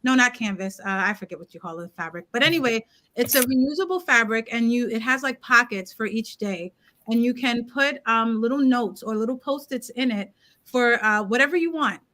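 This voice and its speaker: A woman co-hosting a casual livestream chat, talkative and quick to laugh, correcting herself as she goes. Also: fairly high pitch, expressive, sharp tone. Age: adult parent of a seven-year-old. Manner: chatty, laughs easily, self-correcting. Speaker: co-host.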